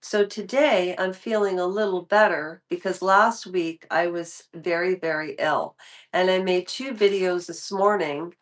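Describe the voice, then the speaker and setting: A woman's voice: lowish voice, a little slow. A woman in her fifties talking casually to her viewers in a vlog, rambling and often restarting her sentences. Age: 50s. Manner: rambling, restarts and trails off mid-thought. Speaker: YouTube commentary creator.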